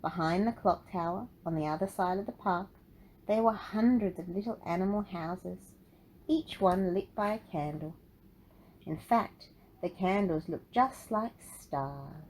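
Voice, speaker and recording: Woman, children's story narrator, lowish pitch, expressive, deliberate, noisy background. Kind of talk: storybook read-aloud for children.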